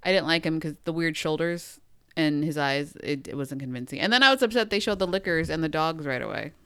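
A woman's voice: deep voice, lively intonation, a little fast, pushed and bright, clear, resonant tone, no echo, clean studio sound. A woman co-hosting a podcast in a casual chat, rambling and hedging with lots of fillers. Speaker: podcast co-host.

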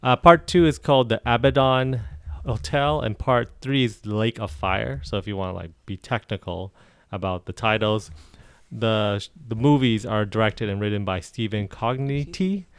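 A man co-hosting a casual podcast chat. He recounts things in a halting way, with many restarts. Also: fairly low pitch, clean tone, dry recording. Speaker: podcast co-host.

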